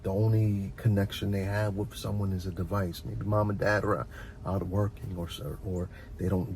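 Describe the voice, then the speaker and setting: A middle-aged man giving an informal, heartfelt advice monologue as a host, speaking earnestly and reflectively, often halting and repeating words. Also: fairly low pitch, warm tone, a little hiss or hum. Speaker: host of a relaxation and advice channel.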